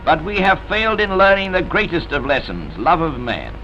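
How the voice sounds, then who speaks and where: A man's voice: fairly high pitch, lively intonation, moderate pace, bright, forceful tone, soft background music. A man narrating a scripted vintage newsreel in a solemn, dramatic, oratorical manner. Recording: dull vintage newsreel soundtrack.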